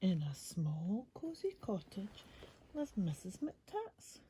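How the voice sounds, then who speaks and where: A woman reading a rhyming picture book aloud as a bedtime story, with a breathy voice and expressive, unhurried emphasis. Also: medium pitch, slight background noise.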